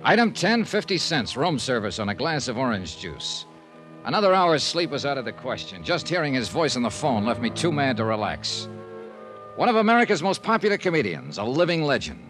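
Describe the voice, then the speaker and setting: A hard-boiled insurance investigator from 1950s radio. His delivery is clipped and sardonic, swinging in pitch over an old broadcast recording with music. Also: big pitch swings, fairly quick, fairly bright tone. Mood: dry narration. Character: wry, tough, blunt male freelance insurance investigator. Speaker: radio drama lead actor. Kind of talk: 1950s radio drama acting, first-person narration.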